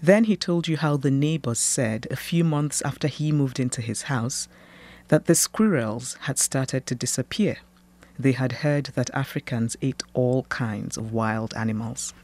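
A woman's voice: very deep voice, darkish tone. Thoughtful Nigerian woman novelist. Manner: measured, thoughtful, laughs easily. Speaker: novelist, guest. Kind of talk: reading her fiction aloud, then interview answers.

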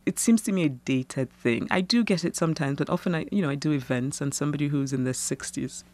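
A woman's voice: deep voice, darkish tone. Thoughtful Nigerian woman novelist. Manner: measured, thoughtful, laughs easily. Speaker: novelist, guest. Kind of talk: reading her fiction aloud, then interview answers.

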